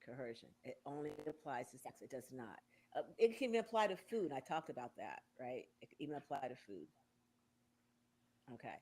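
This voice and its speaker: A woman's voice: a bass voice, sing-song, brisk, a little mellow. A woman in her sixties hosting a casual talk show, quizzing her guests in a playful, game-like way. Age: 60s. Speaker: radio show host.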